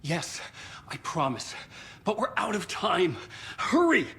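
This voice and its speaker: A man's voice: high-pitched, wildly swooping pitch, slow pace, vocal fry, pushed and bright, full character voice. An actor playing a desperate, frightened, haunted man in a video game, pleading urgently. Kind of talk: dramatic game acting.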